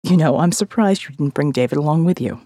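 Woman voicing a blunt, low-pitched cynical survivalist man. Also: fast, dark tone, dry recording, studio quality. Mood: sardonic. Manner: blunt, profane, world-weary. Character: gruff, foul-mouthed man, cynical survivalist leader. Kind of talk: dramatic audio fiction monologue.

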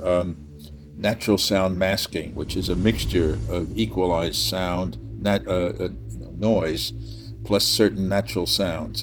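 An older American man from New Jersey hosting a casual, rambling conversation, often halting to search for words. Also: low voice, a little hiss or hum. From New Jersey, USA. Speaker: podcast host and sound designer.

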